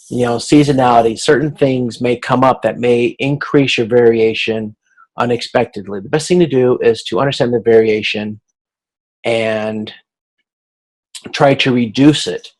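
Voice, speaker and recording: A man speaking as an instructor in an informal recorded lecture, explaining in a halting, conversational way with a gravelly voice. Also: medium pitch, a little mellow, rough recording. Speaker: instructor giving class feedback.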